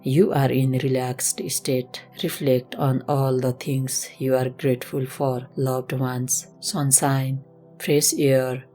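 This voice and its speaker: A woman instructor leading a slow guided meditation in a gentle, measured, instructional manner. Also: extremely low pitch. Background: music.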